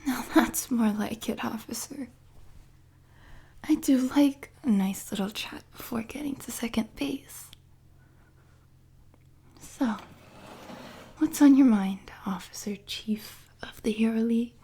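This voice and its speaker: Breathy, slow-talking villainess, acted by a woman, sultry and unhinged. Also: a little high, warm tone, full character voice. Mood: teasing. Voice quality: breathy, whispery, husky. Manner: slow, teasing, sultry, mock-sweet taunting. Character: unhinged, flirtatious female supervillain prisoner, obsessive and taunting. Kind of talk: roleplay audio drama monologue.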